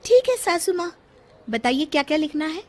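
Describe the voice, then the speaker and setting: A woman's voice: sits high, big pitch swings, normal speed, darkish tone, pitched above the performer's own voice. A woman voicing a boastful, childish young daughter-in-law in a story, with a showy, whiny way of talking. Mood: obedient. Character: boastful, childish young daughter-in-law bragging about her parents' home.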